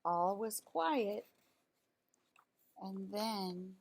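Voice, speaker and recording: A woman reading a children's picture book aloud in an expressive way, as if to young listeners. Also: mid-range voice, drawn-out words. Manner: expressive, explains words simply to children. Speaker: storybook reader.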